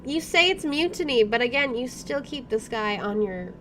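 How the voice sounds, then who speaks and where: A woman hosting a let's play, reading game narration aloud and adding casual, expressive commentary. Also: a little high, normal speed, a crowd in the background. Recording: clearly audible background noise.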